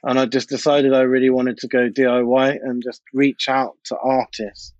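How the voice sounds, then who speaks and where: A man's voice: medium pitch, flat delivery, normal speed, darkish tone, no room sound, highs cut off. A British man talking casually and anecdotally as a DJ and producer being interviewed, in informal, colloquial speech. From United Kingdom. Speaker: interview guest, DJ and music producer.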